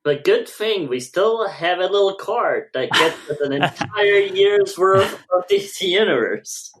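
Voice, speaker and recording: A man's voice: high-pitched, animated, a little slow, fairly bright tone, slight background noise. A man co-hosting a podcast, talking casually. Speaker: podcast co-host. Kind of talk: casual chat.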